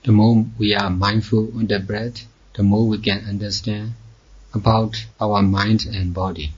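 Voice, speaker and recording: Husky low-voiced man guiding meditation. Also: level tone. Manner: measured, short phrases with long pauses. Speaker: Buddhist meditation teacher. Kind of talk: slow guided meditation instruction. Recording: dull, muffled lo-fi recording.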